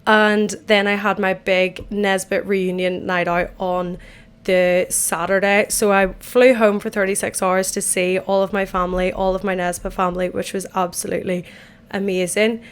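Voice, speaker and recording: A woman in her twenties with an Irish accent, hosting a casual solo podcast from an empty bathtub at home, her voice croaky after a night out and her talk chatty, rambling and self-deprecating. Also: mid-range voice, level tone, normal speed. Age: 20s. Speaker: podcast host.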